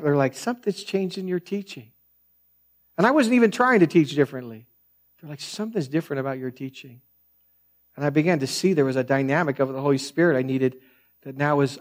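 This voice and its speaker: A man with a soft voice preaching a sermon, telling stories in an earnest, conversational way. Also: fairly high pitch. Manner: earnest, conversational storytelling with frequent restarts. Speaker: pastor.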